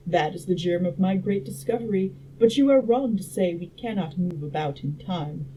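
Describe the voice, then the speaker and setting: Storybook role: assured gentleman inventor lecturing, mellow tone, played by a woman, some background noise. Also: lowish voice, animated, moderate pace, close to an everyday voice. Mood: insistent, lecturing. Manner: didactic, assured. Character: confident Victorian gentleman inventor lecturing on his theory. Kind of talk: audiobook-style character reading. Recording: noisy room background.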